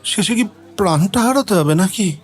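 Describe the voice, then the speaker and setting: A man playing a timid, panicked elderly gentleman in a detective audio story, with a choked, husky, agitated voice. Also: sits high, lively intonation, moderate pace, faint music behind. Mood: alarmed, fearful. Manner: stammering, agitated.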